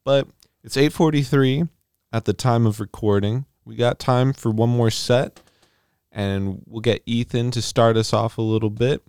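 A man's voice: mid-range voice, fairly even intonation, measured pace, darkish tone, clear, resonant tone, dry recording, clean studio sound. A man hosting a radio show, chatting casually in a rambling, joking way. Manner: rambling, joking, self-deprecating.

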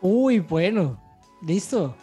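Mellow Colombian man, music faintly behind. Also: high-pitched, big pitch swings, very slow, husky. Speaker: podcast host. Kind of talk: casual chat review.

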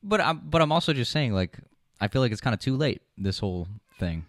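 A young man with an American accent, co-hosting a talk show with informal, opinionated, halting chat. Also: mid-range voice, sing-song, no echo.

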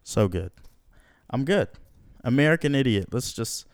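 A man's voice: mid-range voice, lively intonation, a little slow, dry recording, clean studio sound. A young man hosting a casual podcast at home, slangy and laid-back, swearing often. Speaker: podcast host. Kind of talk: casual profane podcast chat.

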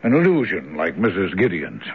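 Skeptical doctor, polite, lowish voice, old radio drama, muffled recording. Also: male voice, wildly swooping pitch, normal speed, husky, heavily acted. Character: brisk, reassuring, skeptical male hospital doctor.

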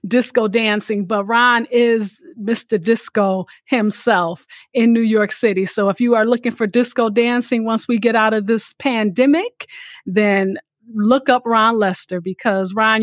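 A woman with a somewhat high voice hosts a podcast in a dry, close sound. She is effusive and gracious, and she places her words deliberately as she addresses guests and listeners. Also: steady tone, dry recording. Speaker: podcast host. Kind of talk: warm interview hosting with sign-offs.

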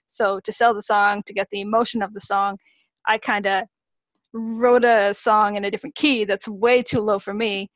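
A woman in her thirties from Massachusetts, a guest singer-songwriter, explains her work in a measured, steady way. Her audio is dry and a little dull. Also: medium pitch, no echo. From Massachusetts, USA. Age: 30s. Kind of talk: interview answers.

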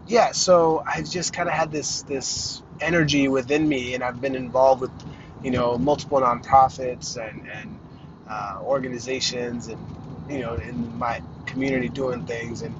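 A man's voice: medium pitch, fairly even intonation, a little slow, noisy background. Thirtyish guy from arizona rambling thru his podcast intro, um uh restarts everywhere, kinda dull lo-fi sound. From Arizona, United States. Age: 30s. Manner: rambling, many fillers and restarts, self-deprecating. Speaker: podcast host. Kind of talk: casual solo podcast monologue.

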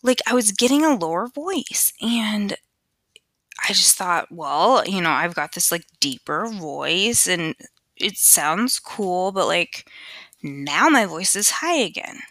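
A woman's voice: medium pitch, expressive, slow, pushed and bright, no room sound. A young woman hosting her own podcast, chatting casually and rambling with lots of fillers, recorded at home on a USB headset mic. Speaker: podcast host. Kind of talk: casual solo podcast chat. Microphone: USB computer headset. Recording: dry close headset mic.

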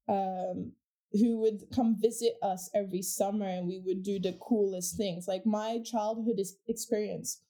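A woman's voice: medium pitch, dark tone. A woman from Portugal, a native Portuguese speaker, talking about her background in a reflective casual conversation with many restarts. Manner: reflective, with frequent restarts and fillers.

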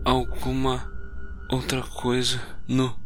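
A man acts as a young man alone at night in an audio drama. He is on edge and stumbles over repeated words in a mid-pitched voice. Music plays clearly behind him. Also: monotone, takes it slowly, fairly bright tone. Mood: nervous. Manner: stammering, repetitive, swearing.

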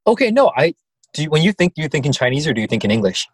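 Sassy, husky-voiced young man. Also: fairly high pitch, rapid-fire, no echo. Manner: sassy, teasing, animated. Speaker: podcast co-host. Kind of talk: casual chat.

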